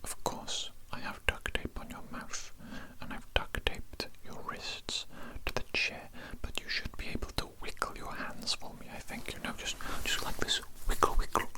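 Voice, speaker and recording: A man playing a condescending, menacing evil doctor in an ASMR roleplay, whispering in a pompous, repetitive, deliberate way close to the microphone. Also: natural voice. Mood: gleefully sinister. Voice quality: whispered, unvoiced. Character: evil male doctor, condescending, menacing mad scientist kidnapper.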